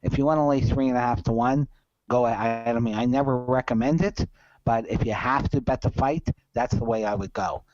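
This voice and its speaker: A man with an average-pitched voice gives casual betting commentary as a podcast host. He is emphatic and opinionated, repeating points to drive them home. The sound is very dry and somewhat muffled. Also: a little fast, darkish tone, no room sound.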